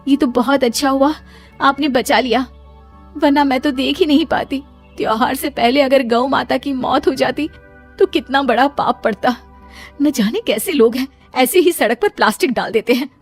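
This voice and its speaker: Cartoon young wife woman performer: dutiful, earnest, talkative, high voice. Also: moderate pace, soft background music. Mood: concerned. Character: young devout daughter-in-law, dutiful but reluctant worker.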